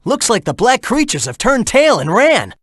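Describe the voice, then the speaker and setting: An actor voicing a cocky, upbeat teenage hedgehog hero in a video game, cheeky and encouraging. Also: male voice, extremely high pitch, moderate pace, no room sound, studio quality, exaggerated voice. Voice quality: youthful, bright, energetic. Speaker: video game character voice clips. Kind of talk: game voice acting, mission hints. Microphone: dry studio mic.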